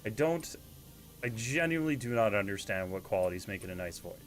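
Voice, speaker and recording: A man's voice: fairly low pitch, lively intonation, brisk, faint music behind. A man with a stuffy, congested, slightly nasal voice, chatting casually and self-deprecatingly while streaming a game. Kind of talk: casual live-stream chat while gaming.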